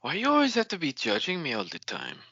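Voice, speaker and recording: Male podcast sidekick, very animated pitch swings, gravelly edge, jokey casual chat; dry, slightly lo-fi sound. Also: fast talker, dry recording. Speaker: podcast co-host chiming in.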